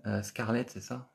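A man, hosting a casual live stream from a small office and answering viewer comments, chatty and repetitive with many filler pauses. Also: medium pitch, gravelly. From France. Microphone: phone camera at arm's length.

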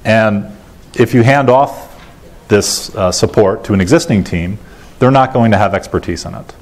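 A middle-aged American man giving a technical conference talk in a measured, matter-of-fact way, pausing often as he goes. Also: lowish voice. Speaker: conference keynote speaker. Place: large lecture auditorium, indoors. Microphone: lapel mic.

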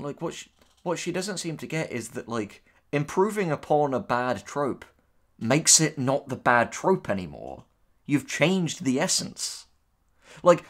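A man with a mid-range voice talks as a co-host in casual reaction commentary. He moves between reading posts out and picking them apart, sarcastic and free with swearing. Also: medium pitch. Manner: sarcastic, profane. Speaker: co-host, audio drama writer.

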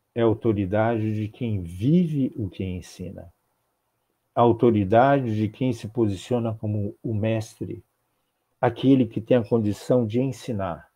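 An older man with a Brazilian accent delivers a spiritual talk over a video call, his voice mellow and warm. He speaks in measured, rhythmic phrases, piling up repeated clauses. The sound comes through a small earphone mic in a home office. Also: on the low side. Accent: Brazilian Portuguese. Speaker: spiritist lecturer. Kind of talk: religious talk.

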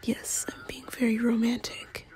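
A woman's voice: a little high, flat delivery, normal speed, darkish tone. A woman in an intimate girlfriend ASMR roleplay, mostly whispering close to the mic in an affectionate, playful, sleepy murmur.

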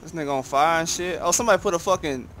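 A man's voice: fairly high pitch, racing, a bit bright, a little hiss or hum. A man chatting casually on a gameplay livestream in slangy, profane language. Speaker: game streamer. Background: game ambience, vehicle sounds.

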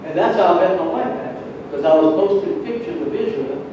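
A man giving a personal testimony in church in a conversational, halting storytelling way, acting out bits of dialogue. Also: high voice, lively intonation. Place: large church sanctuary, indoors. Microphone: far camera at back of the room. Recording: echoey church hall, distant mic, muffled, noisy.

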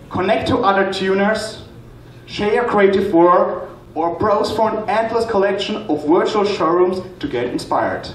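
A man in his twenties giving a rehearsed stage pitch as a student presenter, recorded in a hall. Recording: echoey, noisy hall, distant microphone pickup.

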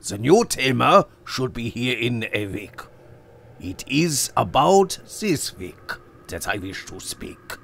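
A man voicing a cold, sly older circus owner who threatens with soft, mocking politeness. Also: medium pitch, big pitch swings, takes it slowly, gravelly, pitched above the performer's own voice, exaggerated voice. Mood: silkily menacing.

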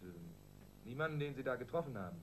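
A man playing the reasonable investigator in a German radio crime drama. He speaks quickly at a medium pitch, polite but insistent, with faint noise behind him. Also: expressive, a bit bright, natural voice. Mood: probing. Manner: polite, probing. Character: reasonable, insistent male police interrogator.

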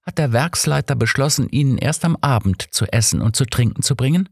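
Guy doing a young workhouse girl for an audiobook, higher voice, matter of fact, kinda guarded and resigned. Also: expressive, fairly quick, creaky, breathy edge, no room sound, studio quality.

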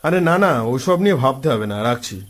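A man voicing an easygoing young village host in a cartoon story, animated and conversational. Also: mid-range voice, moderate pace, natural voice. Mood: reassuring. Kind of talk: cartoon story dialogue, casual.

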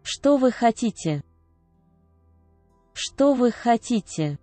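A woman reading short phrases aloud one at a time, each clearly enunciated and measured. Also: fairly high pitch, very animated, mellow, dry recording, faint music behind. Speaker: Russian phrase reader. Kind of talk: slow repeated phrase reading.

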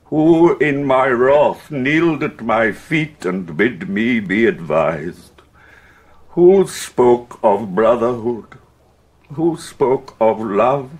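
An actor playing an anguished, wrathful English king in a Shakespearean audio drama, declaiming with heavy pauses and a husky voice. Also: medium pitch, expressive, heavily acted. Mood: anguished, indignant. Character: grief-stricken, wrathful, ailing English king.

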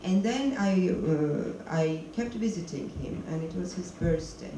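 A woman's voice: lowish voice, animated, a little slow. A middle-aged woman, a documentary filmmaker, answers questions on stage with a handheld mic, stopping often to search for words in English. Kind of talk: stage Q&A interview. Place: stage of a screening hall, seated. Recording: echoey hall, noisy, mic from a distance.

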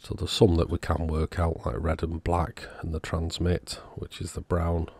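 Casual male repair-video host, very low register, husky with plenty of fry. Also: completely flat delivery, moderate pace, a little mellow. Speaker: host of an electronics repair channel. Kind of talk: casual repair walkthrough narration.

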